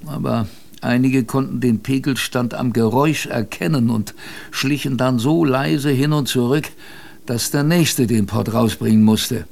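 A survivor of a polar expedition narrating a radio drama. His voice is mid-pitched and creaky. He tells of hardship in a thoughtful, colloquial and wry way. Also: measured pace, a little mellow. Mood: amused, colloquial. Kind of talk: conversational storytelling in a German radio drama.

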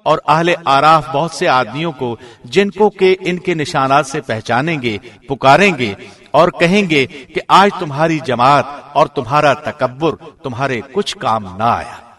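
A man reading a scripture translation aloud from a script in a formal, measured way, as a radio presenter would. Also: a little high, animated, a bit bright. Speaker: translation reader, radio host.

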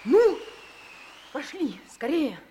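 A woman's voice: sits high, very slow, noisy background, full character voice. A woman voicing a brave, determined young boy who leads his friend, in a 1950s radio play. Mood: eager. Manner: eager, commanding, lively.

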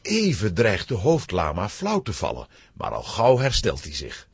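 A man narrating a scripted children's adventure story in an expressive storytelling manner. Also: on the low side, unhurried, breathy edge.

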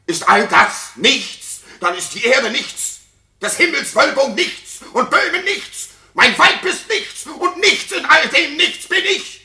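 A man's voice: extremely high pitch, animated, slow pace, exaggerated voice. An actor playing a jealous, suspicious king in a classical radio play, declaiming theatrical verse with intensity. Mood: agitated, frenzied. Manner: intense, declamatory, swinging from hushed to frenzied. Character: jealous, suspicious king raging at his supposedly unfaithful wife. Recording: roomy studio reverb.

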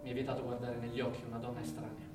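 An actor playing a devout, earnest young Taliban suitor in a stage drama, speaking solemnly. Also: male voice, medium pitch, flat delivery, very fast, close to an everyday voice. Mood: earnest, pious. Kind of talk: theatrical stage drama acting. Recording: echoey distant stage recording over guitar music.

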